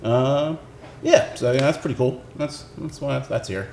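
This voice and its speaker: A man talks off the cuff in a casual, rambling way, often stopping to find his phrasing. His voice sits at a middling pitch. The room is echoey, with faint noise behind him. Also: creaky, darkish tone. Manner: halting, rambling, laid-back phrasing. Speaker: recording engineer presenting record picks. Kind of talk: casual unscripted chat. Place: indoors in a record shop. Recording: roomy echo, faint background noise.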